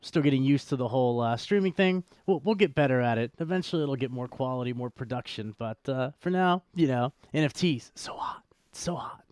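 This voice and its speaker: A man's voice: medium pitch, animated, brisk, few pauses, a little mellow, clean tone, no room sound. A man co-hosting a livestream, explaining things casually in informal, laid-back phrasing. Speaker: co-host of a crypto show.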